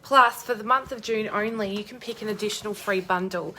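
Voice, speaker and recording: A young woman with a hoarse, croaky voice chatting bubbly on a live stream, greeting viewers by name. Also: medium pitch, fairly quick, noisy background. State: hoarse, losing her voice. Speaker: crafting demonstrator hosting a live stream.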